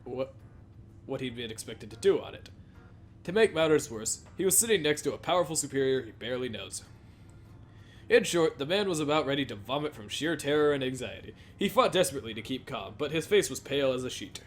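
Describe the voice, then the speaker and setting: A man reads game dialogue aloud in a casual, halting way, tripping on phrases and starting them again. His voice is of medium pitch, with music playing faintly behind it. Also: animated. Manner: halting, stumbles and repeats phrases while reading. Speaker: let's play host reading a visual novel aloud.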